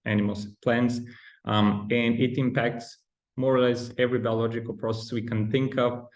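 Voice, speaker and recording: A man's voice: mid-range voice, flat delivery, moderate pace. A man in his thirties from Bulgaria, speaking with a Bulgarian accent as a podcast guest, explaining with frequent ums and restarts. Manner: hesitant, many ums and restarts. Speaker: guest researcher. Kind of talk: podcast interview.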